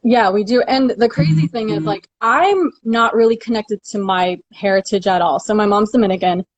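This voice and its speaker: A woman's voice: mid-range voice, animated, no room sound, low-quality recording. Upbeat woman on a dry video call. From United States. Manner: upbeat and quick, with frequent "like" and "you know". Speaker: guest. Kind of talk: casual video call chat.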